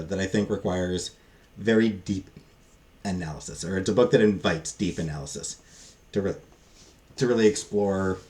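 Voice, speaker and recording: Hesitant, slightly low-voiced man reviewing casually. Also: darkish tone. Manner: hesitant, halting, rambling; self-deprecating. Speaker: book reviewer. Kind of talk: casual unscripted book review.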